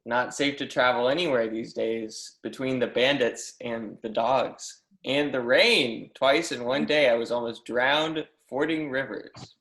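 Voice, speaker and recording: A man's voice: mid-range voice, a little slow, hardly any pauses, fairly bright tone. Man playing an eager young scholar, echoey room. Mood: eager, earnest. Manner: earnest, effusive, apologetic, formally polite. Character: eager, deferential young German mathematics professor, flustered admirer. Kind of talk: readers theatre performance of a history play.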